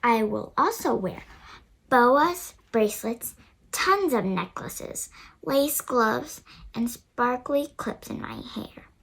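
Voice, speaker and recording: A girl of about nine reading a picture book aloud, careful and a little halting as she goes. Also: on the high side, animated, gravelly, fairly bright tone, husky, poor audio. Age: child, about nine. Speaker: young reader of a picture book. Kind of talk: storybook read-aloud narration.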